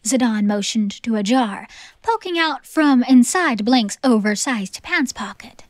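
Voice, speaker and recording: A woman's voice: fairly high pitch, expressive, a little slow, no room sound. A woman reading scripted, dramatic story narration as the narrator of an audiobook. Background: background music.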